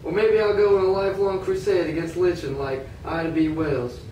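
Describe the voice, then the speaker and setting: A man's voice: high voice, level tone, a little fast, mellow, close to an everyday voice. A man voicing an earnest, defiant freed man in a puppet show, emphatic and indignant about equal rights. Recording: roomy, distant, noisy amateur recording.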